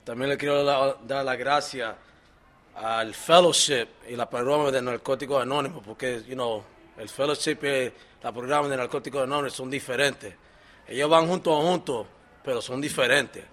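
A middle-aged Dominican-American man from New York giving an earnest, halting personal testimony at a recovery meeting, switching between Spanish and English fillers. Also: mid-range voice, fairly even intonation, fairly bright tone. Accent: Dominican-American, mixing English into Spanish. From New York, Dominican family. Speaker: speaker sharing at a recovery meeting.

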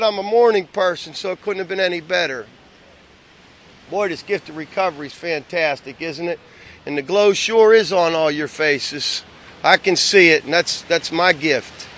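Man from Pittsburgh, recovery convention speaker, folksy and chatty, higher-pitched voice. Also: fairly bright tone. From Pittsburgh, United States. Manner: chatty and folksy, many "boy" and "uh" fillers. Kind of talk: folksy talk to an audience.

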